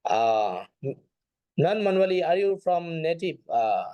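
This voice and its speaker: A man's voice: a little high, sing-song, unhurried, warm tone, smooth, no echo. A man teaching an informal online class as a Buddhist monk, speaking hesitantly and often repeating phrases. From Nepal.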